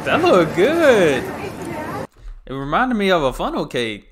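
A man's voice: high voice, huge pitch swings, a little slow, crowd noise, background noise. An American man in his thirties giving casual reaction commentary as a video host. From United States. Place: indoors, at a streaming desk. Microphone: desk microphone, with headphones on. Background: music and audio of the watched video.

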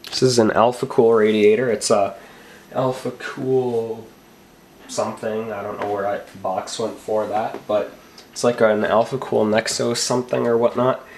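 Young male vlogger, slightly low voice, getting over a cold, loose rambling delivery, camera mic at a bedroom desk. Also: little pitch movement, normal speed, vocal fry, a little mellow, slight background noise. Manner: rambling, filler-heavy, self-correcting. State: recovering from a cold. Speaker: tech vlogger. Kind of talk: casual show-and-tell.

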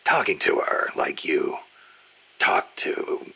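A man playing a gruff, fatherly veteran soldier in a video game, gravelly and raspy, speaking in a measured, reassuring way. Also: bright, forceful tone, low-quality recording. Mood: awkward. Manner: measured, reassuring, halting on awkward subjects.

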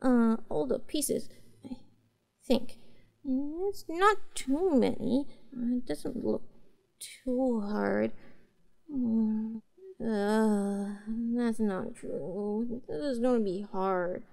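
A woman's voice: fairly high pitch, drawn-out words, gravelly, a little mellow, exaggerated voice. A woman voicing a cute, girlish young catgirl pet in a roleplay audio, speaking in a hesitant, earnest, apologetic way to her master. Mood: muttering, concentrating. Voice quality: cutesy, girlish.

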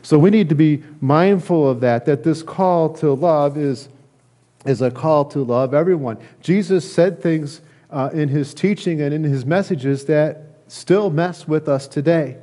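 Mellow middle-aged male preacher. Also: a little high, measured pace. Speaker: pastor. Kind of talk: conversational sermon. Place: church sanctuary, at a lectern indoors.

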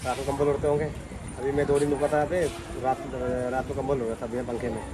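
A man's voice: mid-range voice, steady tone, fast. A young man from Assam, India, chatting casually to camera as a travel vlogger on a phone held at arm's length. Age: young adult. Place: outdoors in village, station platform and moving train. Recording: noisy outdoor and train phone recording. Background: street, vehicle and train noise.

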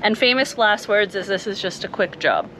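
A woman's voice: medium pitch, moderate pace, a bit bright, rough recording. A woman, perhaps in her thirties, talking casually to camera as a sailing vlogger. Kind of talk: casual vlog talk to camera. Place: small sailboat cabin. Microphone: camera at arm's length. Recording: faint ambient noise in boat cabin.

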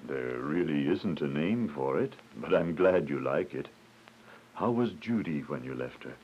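An actor playing a gentle, devout, fatherly visitor who speaks tenderly and reassuringly in a religious radio drama. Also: a bass voice, expressive, measured pace, husky, heavily acted. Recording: dull, noisy lo-fi radio drama.

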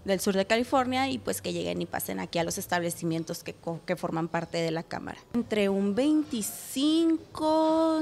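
A woman's voice: mid-range voice, gravelly. A young woman, interviewed in a restaurant on a handheld mic, talking with many fillers and restarts. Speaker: restaurant association president. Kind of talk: news interview. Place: indoors in a restaurant. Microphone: handheld reporter mic.

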